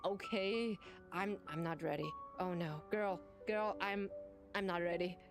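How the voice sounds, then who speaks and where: Giggly woman chatting slowly. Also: fairly low pitch. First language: German. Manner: giggly, laughs often. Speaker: streamer. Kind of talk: casual chat with viewers. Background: game music.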